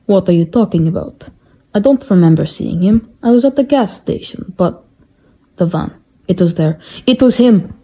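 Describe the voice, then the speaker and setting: Russian butler for a radio drama, played by a woman: low, husky, aggrieved and emphatic, on a muffled recording. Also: expressive, moderate pace. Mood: agitated, angry. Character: hot-tempered, grieving Russian male butler. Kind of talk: amateur radio play acting.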